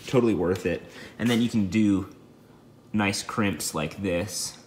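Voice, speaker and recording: A man's voice: lowish voice, unhurried, a little hiss or hum. A man giving a casual, matter-of-fact how-to narration as host of a DIY video.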